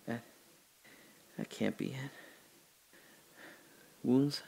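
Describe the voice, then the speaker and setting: An actor playing a confused amnesiac man trapped alone in a hole, rambling and swinging between giddy laughter and hushed muttering. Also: mid-range voice, drawn-out words, vocal fry, slightly rough, full character voice. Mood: hushed worry. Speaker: audio drama lead actor. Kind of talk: solo audio drama monologue.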